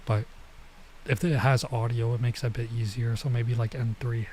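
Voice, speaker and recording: A man streaming a visual novel, talking in a mellow, relaxed voice at a medium pitch. His commentary is informal and halting, often drifting into muttering as he works out lines and reads them aloud. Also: a little hiss or hum. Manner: informal, halting, mutters to himself while translating. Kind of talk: casual let's play commentary, reading dialogue aloud.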